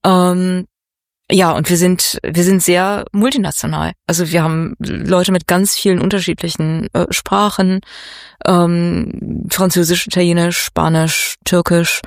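A woman's voice: fairly low pitch, fairly even intonation, a little slow, no echo, studio quality. A woman being interviewed who answers in a friendly, hesitant way with many fillers. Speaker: interview guest. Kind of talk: casual interview.

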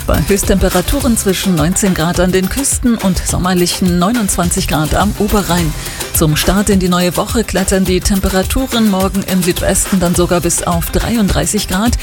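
A woman reading the radio news and weather in an even, measured studio delivery. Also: lowish voice, over music. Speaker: newsreader.

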